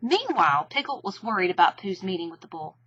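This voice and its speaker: A woman's voice: medium pitch, sing-song, brisk, fairly bright tone, breathy edge, highs cut off. A woman narrating a children's storybook expressively.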